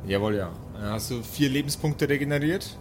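A man's voice: medium pitch, fairly quick. A man improvising narration as game master of a tabletop game, with mock-formal storytelling phrasing. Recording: music bed behind the voice.

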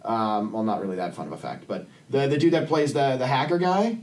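A man's voice: mid-range voice, fairly quick, darkish tone. A man chatting casually and profanely about films, rambling informally as a podcast panelist. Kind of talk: casual film discussion. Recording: distant from the microphone.

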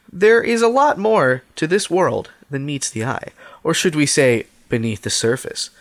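Um homem, coapresentador de podcast, que lê em voz alta com um tom seco e impassível numa conversa cômica. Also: male voice, fairly high pitch, dry recording. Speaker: podcast co-host. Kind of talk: casual comedic chat, reading a dating ad aloud.

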